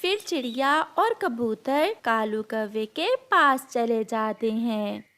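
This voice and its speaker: A woman's voice: fairly high pitch, animated, slow, a bit bright. A woman narrator reading a scripted story for children.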